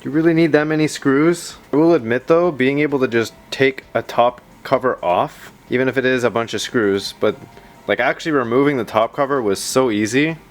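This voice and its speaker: A man's voice: medium pitch, fairly quick. A man hosting a tech video in a casual, chatty way, with rambling, self-deprecating asides. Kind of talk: casual vlog narration.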